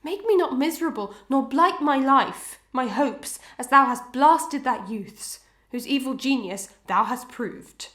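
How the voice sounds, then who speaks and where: A woman playing an anguished, proud and dutiful wife in a Victorian melodrama, with formal archaic diction and fervent, deliberate delivery. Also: sits high, lively intonation, fairly bright tone, echoey room. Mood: impassioned pleading. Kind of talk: old-fashioned melodramatic stage acting.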